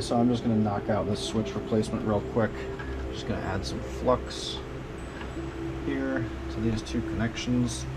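A man's voice: fairly low pitch, heavy background noise. A man casually narrating a hands-on tutorial in a terse, offhand mutter while he works. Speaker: hobbyist showing a radio repair. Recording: loud music behind the voice. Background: loud background music.

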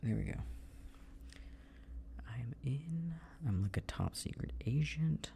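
A man doing a soft ASMR boyfriend roleplay, murmuring and half-whispering to himself as he works. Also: mid-range voice, expressive, slow pace, creaky, a little hiss or hum. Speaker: audio roleplay performer playing a boyfriend. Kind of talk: soft improvised ASMR roleplay, muttering to himself while working.

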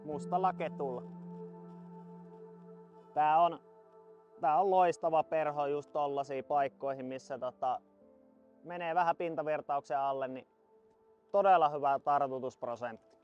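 A Finnish man in his thirties, a fly angler talking casually on the spot while wading in a river rapid at dusk. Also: on the high side, lo-fi sound, faint music behind. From Finland. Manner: excited shouts while landing a fish, then calm explaining.

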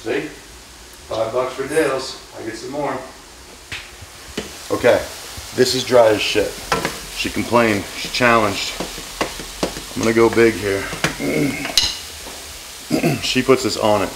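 Gravelly, brash man in a kitchen. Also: lowish voice, a little slow, pauses often, noisy background. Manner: brash, profane and joking. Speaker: cooking show host. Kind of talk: casual cooking vlog. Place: home kitchen, indoors. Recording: sizzling frying pan behind.

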